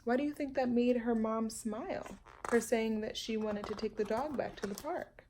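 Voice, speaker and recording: A woman's voice: fairly high pitch, normal speed, a little mellow, a little hiss or hum. A woman reading a children's picture book aloud, with chatty asides to her young listeners. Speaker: storytime reader.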